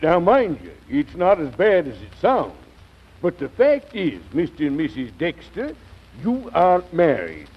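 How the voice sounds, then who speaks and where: High, husky Southern country judge. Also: male voice, wildly swooping pitch, unhurried, full character voice. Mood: sheepish, polite. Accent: American South. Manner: folksy, drawling colloquial talk with chuckles. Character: folksy, sheepish, chuckling Southern country judge. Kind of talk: 1940s radio comedy acting. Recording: dull, lo-fi old radio recording.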